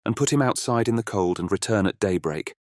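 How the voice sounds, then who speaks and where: A man's voice: on the low side, lively intonation, a little fast, no echo, clean studio sound, lightly acted. A man playing a bold, commanding young fairy tale hero with formal, assured speech in an audiobook.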